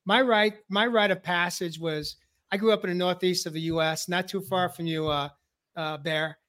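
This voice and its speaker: A high-pitched man from the New York area hosts a men's talk show. He tells his story in a preacherly way and hammers key phrases through repetition. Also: fairly even intonation, normal speed, a bit bright. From New York area, USA. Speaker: host. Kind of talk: heartfelt personal sharing on a men's talk show.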